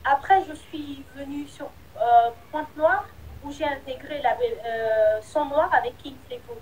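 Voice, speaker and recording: A woman singer with a high voice, answering interview questions. She speaks candidly but hesitantly, and her sentences often trail off. A faint hum of ambience sits behind her. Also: a little slow, darkish tone, highs cut off. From Republic of the Congo. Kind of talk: casual interview.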